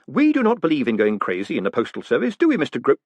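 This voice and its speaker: Man reading as brisk, wry postmaster, lively delivery, dry close mic. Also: mid-range voice, dry recording, voice pushed up. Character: quick-witted male postmaster, brisk and wry.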